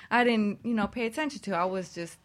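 A woman co-hosting a talk show, chatting casually and personally in an animated, giggly manner. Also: medium pitch, normal speed.

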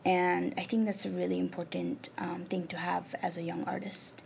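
A young woman from Nepal, a native Nepali speaker, talks through interview answers in a gravelly voice with some vocal fry. She pauses often and fills the gaps with soft ums as she thinks. Faint noise sits under the recording. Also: lowish voice, little pitch movement. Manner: thoughtful, many ums and pauses. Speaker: artist and arts program manager.

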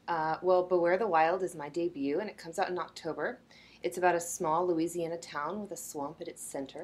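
A woman author answering interview questions in a thoughtful way, often opening with a soft "uh, well". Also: fairly low pitch, slightly rough.

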